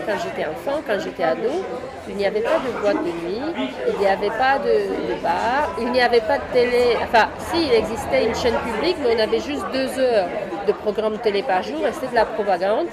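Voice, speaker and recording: Female interview guest, Romanian accent, fairly high voice, casual and conversational, heavy crowd din. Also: moderate pace. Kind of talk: casual chat. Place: busy café or bar. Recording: busy public place with loud crowd chatter.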